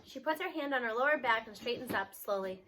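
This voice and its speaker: A woman giving an expressive read-aloud of a children's novel. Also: fairly high pitch, brisk, slight background noise.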